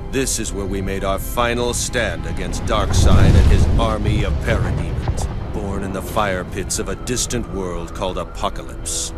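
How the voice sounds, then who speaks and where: A man playing a resolute, earnest superhero in a game cutscene, speaking firmly. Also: low-pitched. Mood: solemn. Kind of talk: game cutscene narration and dialogue. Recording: loud music behind the voice.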